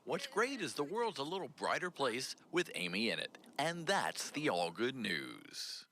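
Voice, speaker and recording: A man's voice: mid-range voice, big pitch swings, a little slow, sharp tone, a little hiss or hum. A man narrating a light feel-good news feature in a playful, punning way. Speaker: feature reporter.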